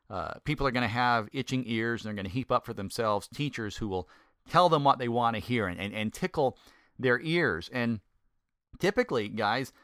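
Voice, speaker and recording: A middle-aged man, a former pastor, giving a conversational Bible teaching in a folksy, self-deprecating way and slowing down to stress key points. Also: mid-range voice, fairly bright tone, no echo, clean studio sound.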